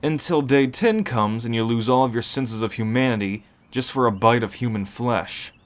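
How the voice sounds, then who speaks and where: A man playing a seasoned, calm werewolf who explains pack ways matter-of-factly in an ASMR roleplay. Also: medium pitch, moderate pace, close to an everyday voice. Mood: grave, explaining.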